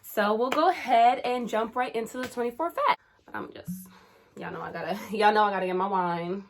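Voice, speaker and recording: A woman's voice: medium pitch, fairly quick, slight background noise. Chatty young woman vlogger. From Caribbean, raised in New Jersey. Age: 20s. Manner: chatty, slangy, playful. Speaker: YouTuber. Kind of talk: casual vlog chat.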